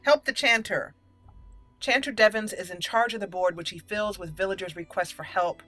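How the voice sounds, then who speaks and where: A woman's voice: mid-range voice, normal speed, pushed and bright, soft background music. A woman hosting a roleplay game playthrough, mixing commentary with theatrical, haughty in-character lines. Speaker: gaming host.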